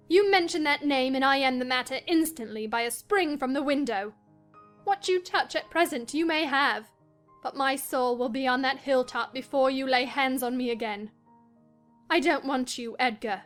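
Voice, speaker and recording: A woman's voice: high voice, a little slow, fairly bright tone. A woman voicing a passionate, delirious, headstrong young wife with a scornful, commanding, dramatic manner in a classic novel reading. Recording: music behind the voice.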